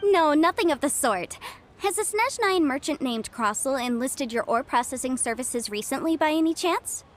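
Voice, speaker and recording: A woman's voice: high voice, expressive, moderate pace, a little hiss or hum. A woman voicing a self-assured young legal adviser in a video game, articulate and businesslike. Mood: polite, businesslike.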